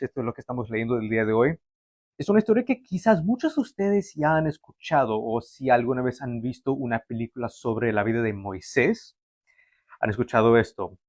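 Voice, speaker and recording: A man's voice: medium pitch, a little mellow, no echo. Man, young adult, pastor, conversational sermon, fillers and restarts, dry room. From Canada. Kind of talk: sermon to a livestream audience.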